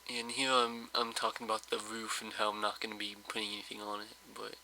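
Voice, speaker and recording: Male gameplay host, slightly hoarse, bright tense tone, monotone, rambling and hesitant delivery. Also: on the low side, fast, a little hiss or hum, rough recording. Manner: rambling, hesitant, full of fillers. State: slightly hoarse, just woke up. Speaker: let's play host. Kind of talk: casual gameplay commentary.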